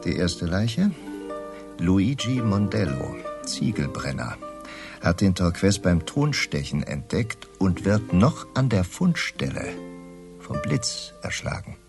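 A shrewd, self-assured newspaper chief in a radio crime drama. He speaks very low with animated, theatrical intonation and a knowing air, over clearly audible music. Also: slow, heavily acted. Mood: ominous storytelling.

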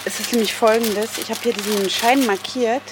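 A woman's voice: fairly high pitch, steady tone, moderate pace, background noise. Woman reporter in a radio feature. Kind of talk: scripted first-person narration and casual field conversation.

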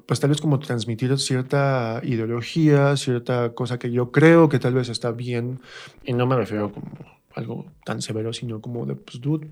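A man's voice: mid-range voice, steady tone, moderate pace, darkish tone. Mexican man in casual, reflective conversation. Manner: informal, colloquial, reflective. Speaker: interview guest, stage actor.

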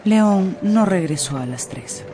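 A woman's voice: medium pitch, expressive, slow pace, mellow. Theatrical woman narrator over music. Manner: theatrical, emotive storytelling. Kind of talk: dramatic scripted radio narration.

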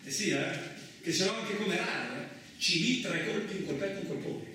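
A man's voice: high-pitched, moderate pace, natural voice. A male actor playing a boastful, rambling truck driver with touchy pride in a chatty, self-justifying radio monologue. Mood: excited boasting. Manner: chatty, self-justifying, punctuated with rhetorical asides. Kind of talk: theatrical radio monologue, colloquial storytelling. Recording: very echoey, distant, clearly noisy recording.

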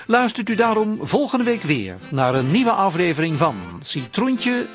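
An actor as a wry, formal storyteller giving scripted narration for a radio comedy serial. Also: male voice, high voice, very animated, a little slow. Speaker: narrator closing the episode. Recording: music behind the narration.

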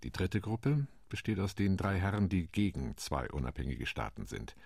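An actor playing a calm, tactical delegate on a partition committee, speaking in a radio play monologue. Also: male voice, deep voice, normal speed, gravelly, slightly rough, no room sound, studio quality, heavily acted. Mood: measured.

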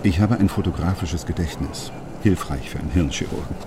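An actor playing a secretive, worried brain surgeon father who speaks in an urgent, hushed, pleading way. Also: sits low, a little fast, noisy background, natural voice.

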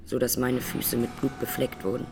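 An actress playing an Armenian woman in her twenties, a massacre survivor giving measured, sober testimony in a radio drama. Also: extremely low pitch, fairly even intonation, vocal fry, over music. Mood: grave, sober. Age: 20s.

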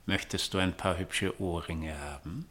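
An actor playing a sly, patronizing middle-aged father with a calmly murderous streak in a 1960s German radio play. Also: deep voice, a little slow. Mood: slyly coaxing.

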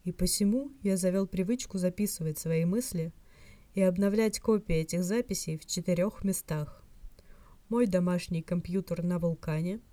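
Woman doing a stuffy old scholar hologram guy for an audiobook, soft n measured, sly, lowish voice. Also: dry recording, lightly acted. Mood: dryly sly. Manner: formal, measured, soft and relentless. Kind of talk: fan fiction audiobook reading.

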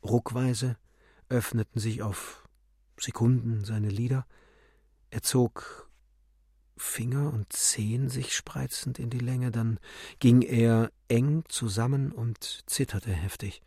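Guy doing dramatic literary narration for radio, really slow, theatrical, mid pitched voice, no room sound. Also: fairly even intonation, slightly rough, no room sound, studio quality. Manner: theatrical, swinging between loud declamation and hushed, measured delivery. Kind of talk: dramatic literary reading, expressionist prose.

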